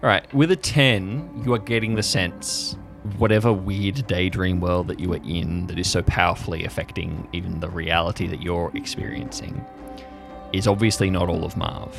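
A man with a low voice narrates an improvised roleplay story as game master. Music plays behind his descriptions. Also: steady tone, normal speed, creaky. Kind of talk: improvised narration of a tabletop-style audio drama.

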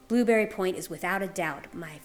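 A woman's voice: on the low side, brisk. A woman reading a scripted literary story aloud as a narrator. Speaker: guest reader. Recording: music under the voice. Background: music.

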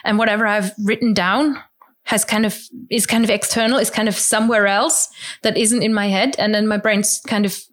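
A woman's voice: fairly even intonation, moderate pace, a bit bright. Chatty German-born woman guest. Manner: chatty, restarts often, acts out quoted thoughts in a higher voice. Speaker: interview guest, language learner. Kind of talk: casual conversational explanation.

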